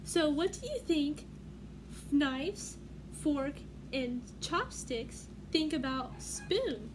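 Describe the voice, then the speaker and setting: Teacher-ish lady doing a kids book read aloud, high voice, really unhurried, noisy background. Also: expressive. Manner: teacherly, asks listeners questions. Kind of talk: picture book read-aloud for young children. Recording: clearly noisy room background.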